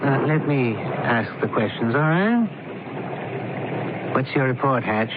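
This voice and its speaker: Imperious detective doctor on noisy old radio. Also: male voice, mid-range voice, expressive, slow pace, phone-quality sound. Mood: brusque, commanding. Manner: imperious, clipped, dryly probing. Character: brusque, commanding, brainy doctor who investigates cases. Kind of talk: radio mystery drama acting.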